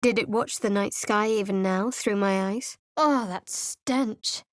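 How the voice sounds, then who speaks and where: A woman's voice: mid-range voice, slow, no room sound, lightly acted. A woman voicing a guarded, sardonic young cleric adventurer in a game, with a dry, cutting delivery. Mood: wry. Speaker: video game character. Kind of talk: game voice lines.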